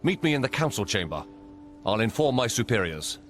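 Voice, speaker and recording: An actor playing a courteous, steady officer in a fantasy video game, speaking in a measured, matter-of-fact way. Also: male voice, medium pitch. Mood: businesslike. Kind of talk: video game cutscene acting. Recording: game music under the voice.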